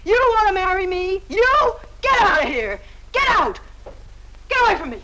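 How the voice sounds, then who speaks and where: A woman's voice: medium pitch, very animated, a little slow, noisy background. An actress playing a brassy, scornful young American woman, with a slangy, drawling, mocking delivery in mid-century film melodrama style. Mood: furious, scornful.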